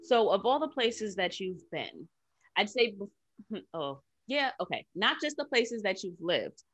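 A woman's voice: mid-range voice, big pitch swings, a little slow, fairly bright tone. A woman hosting a casual, conversational interview and asking questions. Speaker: podcast host, interviewer.

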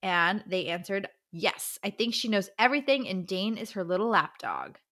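A woman's voice: mid-range voice, very animated, normal speed, a bit bright. A woman co-hosting a book podcast, chatting casually and reading out answers in an enthusiastic, playful way.

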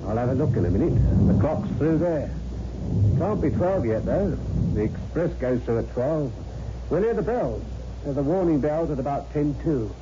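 An actor playing a friendly, obliging station master at a lonely railway halt in a 1960s radio suspense drama, on an old radio recording. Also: male voice, on the high side, expressive, moderate pace, dull audio, very noisy, close to an everyday voice. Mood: chatty, matter-of-fact.

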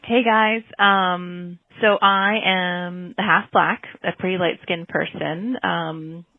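A woman from the US Northwest telling a story in a voicemail, hesitant with many pauses and fillers. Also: on the low side, steady tone, dry recording. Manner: hesitant, many ums and you-knows. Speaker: voicemail caller. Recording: tinny phone-line voicemail.

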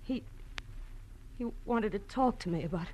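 A woman playing a prim, anxious young wife in a 1940s radio drama, speaking hesitantly and haltingly. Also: mid-range voice, expressive, a little mellow, lightly acted. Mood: anxious, defensive. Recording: noisy old radio recording.

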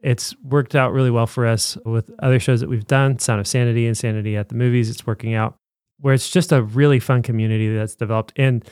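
A man co-hosting a casual podcast, pitching the listener community in an upbeat, promotional way. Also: steady tone, quick pace, hardly any pauses, a little mellow, clear, resonant tone, dry recording, clean studio sound. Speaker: podcast co-host.

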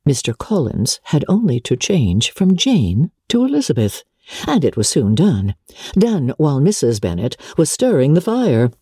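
A woman narrating a classic novel as a dramatic reader, in a measured, theatrical storytelling manner. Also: low voice, sing-song, warm tone, slightly rough, dry recording, clean studio sound. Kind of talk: expressive scripted audiobook narration of a classic novel.

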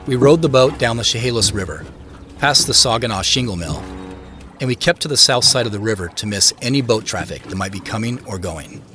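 A man's voice: mid-range voice, sharp tone, slight background noise. An actor playing a solitary, private man who narrates his own story in an understated, conversational, matter-of-fact way. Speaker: narrator of an audio drama. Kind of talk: first-person scripted narration.

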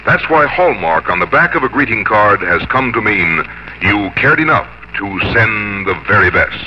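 A man's voice: fairly low pitch, big pitch swings, normal speed, background noise. A man announcing a 1950s radio program in a polished, emphatic, scripted style. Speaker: announcer and sponsor spokesman. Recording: muffled 1950s radio broadcast.

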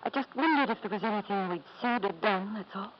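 A woman's voice: mid-range voice, quick pace, lightly acted. An actress playing a genteel, anxious wife and devoted mother in a 1950s radio drama, refined and hesitant. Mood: wistful. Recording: muffled, noisy 1950s radio broadcast.

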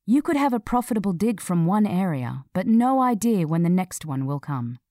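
A woman narrating a scripted feature article in a measured, clearly read delivery. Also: fairly low pitch, very animated, warm tone, no echo, clean studio sound.

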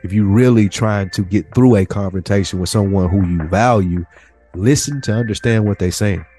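A man's voice: lowish voice, moderate pace, mellow, faint music behind. A man co-hosting a podcast in casual, slangy conversation, telling stories and quoting people as he goes. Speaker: podcast co-host.

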